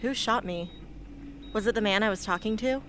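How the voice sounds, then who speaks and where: A woman's voice: mid-range voice, moderate pace, a bit bright, natural voice. A woman playing a wounded, earnest and stubborn teenage girl rebel in an amateur radio drama. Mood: guarded. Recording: noisy amateur radio play recording.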